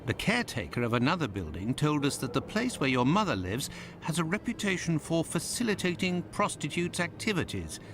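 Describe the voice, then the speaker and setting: A sharp detective. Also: male voice, a little high, very animated, brisk, few pauses, noisy background. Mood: questioning. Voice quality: crisp, probing questions. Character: sharp, clipped adult male detective. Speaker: game character. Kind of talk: video game voice acting.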